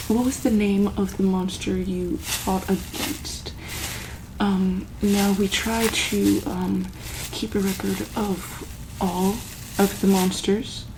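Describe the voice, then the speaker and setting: A woman acting as a calm, caring doctor in a soft ASMR roleplay, over clearly audible background noise. She talks slowly at a middling pitch. Her delivery is hesitant, broken by pauses and frequent ums. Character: calm, caring female monster-hospital doctor.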